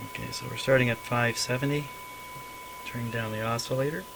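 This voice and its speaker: A man's voice: medium pitch, fairly even intonation, a little fast, vocal fry. A man giving a casual hands-on demonstration, muttering in short fragments to himself as he works. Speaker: radio repair hobbyist demonstrating. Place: radio repair workshop. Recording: very noisy recording, constant background noise.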